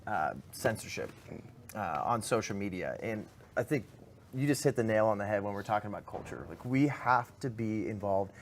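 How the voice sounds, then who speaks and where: A man hosting a live political panel show, talking in a casual, animated, slangy way. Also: fairly low pitch, normal speed, a little hiss or hum. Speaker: host.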